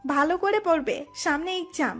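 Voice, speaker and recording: A woman's voice: very high-pitched, animated, normal speed, fairly bright tone. A woman playing a friendly, encouraging female tutor in an audio love story. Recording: music bed under the voice.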